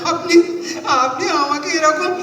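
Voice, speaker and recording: A very high-voiced, sickly male passenger who panics easily. He stammers and repeats himself in a slow, whimpering theatrical drama delivery, heard from a distance in an echoey hall. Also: bright, forceful tone, heavily acted. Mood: pleading, distressed. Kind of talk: theatrical Bengali audio drama performance.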